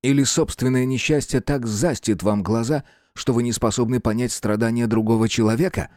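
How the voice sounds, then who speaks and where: A man reading a composed, stern male investigator in an audiobook, measured, firm and unsentimental. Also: medium pitch, fairly even intonation, darkish tone, no room sound, clean studio sound, close to an everyday voice. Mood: sharp, pressing. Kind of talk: dramatic audiobook character reading.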